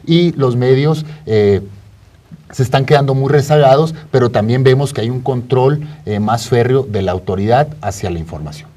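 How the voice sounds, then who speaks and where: A man's voice: medium pitch, moderate pace, warm tone. A man with a Mexican accent co-hosting a studio program and giving conversational news commentary. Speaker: co-host commentator. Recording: voice distant from the microphone.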